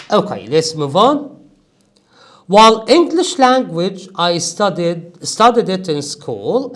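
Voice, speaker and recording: A man teaching an English speaking-test class, emphatic and animated, joking with his students. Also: high-pitched. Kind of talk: classroom lecture.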